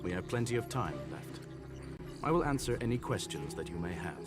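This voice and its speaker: A courteous, analytical machine gentleman from a video game, speaks in a low voice with lively rises and falls. His phrasing is formal and eloquent, composed throughout. Music plays clearly underneath. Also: brisk. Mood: calm, explanatory. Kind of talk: scripted video game voice acting.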